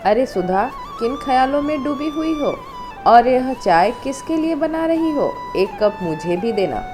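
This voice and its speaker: Woman voicing a higher-pitched inquisitive older aunt. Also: lively intonation, a little slow, over music. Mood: curious. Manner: informal, questioning. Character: familiar older aunt-in-law, casually inquisitive.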